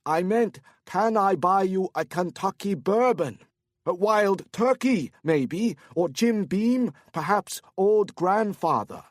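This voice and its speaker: A man's voice: sits high, animated, slow pace, no echo, clean studio sound, voice pushed up. A man voicing a cheerful, drunk older Korean businessman who slurs his words in a put-on accent. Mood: loud, apologetic. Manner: slurred, loud, overly eager. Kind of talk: audiobook character voice.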